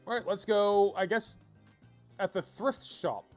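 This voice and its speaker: A man's voice: high-pitched, measured pace, soft background music. A man casually commentating on a video game as he streams, rambling and thinking aloud as he reads out options.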